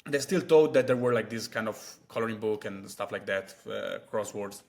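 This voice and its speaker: A man's voice: on the low side, fairly even intonation, normal speed, creaky, darkish tone. Chatty young man vlogging. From Italy. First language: Italian. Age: 20s. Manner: chatty, many fillers and asides. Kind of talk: casual talk to camera.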